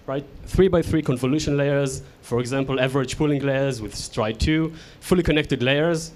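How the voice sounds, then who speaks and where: A man with an Israeli accent works through a technical lecture at a middle pitch. He is a native Hebrew speaker who pauses on fillers and restarts his sentences as he thinks aloud. He keeps checking in with a quick "right?".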